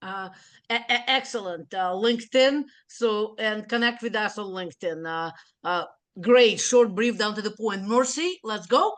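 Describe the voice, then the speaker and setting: A woman hosting an online networking event over a video call, upbeat and halting, with many fillers. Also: mid-range voice.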